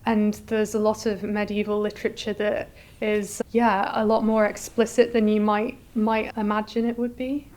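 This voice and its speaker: A woman's voice: medium pitch, level tone, normal speed, a little mellow. A woman giving articulate, explanatory answers as a curator being interviewed. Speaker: exhibition curator, interview guest.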